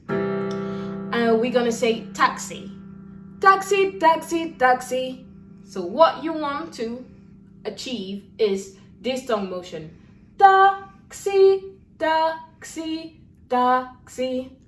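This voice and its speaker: A young adult woman teaching a singing lesson in a relaxed, encouraging way, checking in with her students and demonstrating warm-up exercises. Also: sits high. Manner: demonstrates lip trills and sung syllables. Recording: echoey room, voice a little far from the mic.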